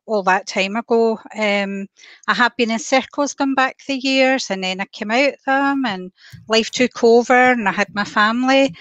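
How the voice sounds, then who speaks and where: A woman's voice: medium pitch, a bit bright, no room sound. A woman, a panelist on a video call, speaking plainly with some hesitation. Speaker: panelist, platform medium. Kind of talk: online panel discussion.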